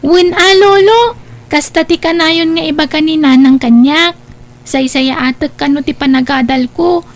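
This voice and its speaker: A woman voicing a cheerful, studious young granddaughter who speaks brightly and politely. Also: high-pitched, normal speed, close to an everyday voice.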